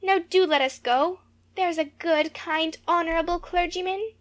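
A very high, childlike storybook girl. She speaks impulsively and affectionately, pleading her case like a small child. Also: normal speed. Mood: coaxing. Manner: pleading, wheedling. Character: affectionate, coaxing little girl.

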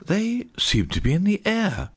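A woman voicing a flustered, earnest middle-aged country vicar with a pompous, deliberate way of talking in a storybook reading. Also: mid-range voice, huge pitch swings, husky, exaggerated voice. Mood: grave, flustered.

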